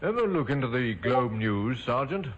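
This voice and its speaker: An actor playing a shrewd, brisk male police inspector in an old radio drama, crisp and dryly ironic. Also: mid-range voice, very animated, dull audio. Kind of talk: 1950s radio drama acting. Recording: old radio broadcast with faint hiss.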